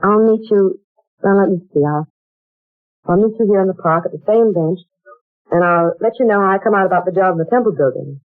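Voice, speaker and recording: A woman's voice: fairly low pitch, brisk, dry recording, natural voice. An actress playing a proper, hungry young woman looking for work, in a 1940s radio drama, on a tinny old broadcast recording. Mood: earnest. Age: young adult.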